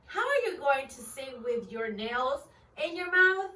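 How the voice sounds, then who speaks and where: A woman voicing a tiny, wise green and yellow worm who gently scolds. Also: high voice, lively intonation, unhurried, exaggerated voice. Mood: gently scolding. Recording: roomy, distant, faint noise.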